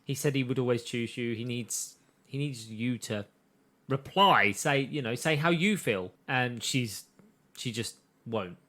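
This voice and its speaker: A man's voice: medium pitch, unhurried, a bit bright. A young man co-hosting a podcast, casually and thoughtfully retelling scenes from a show. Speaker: podcast co-host. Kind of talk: casual chat recapping an anime.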